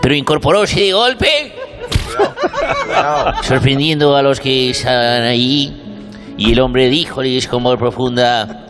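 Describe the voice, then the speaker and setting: A man playing a mock-solemn poet, declaiming absurd verse in a grandiose, drawn-out comic recital. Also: medium pitch, close to an everyday voice. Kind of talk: parody poetry recital. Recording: music playing behind.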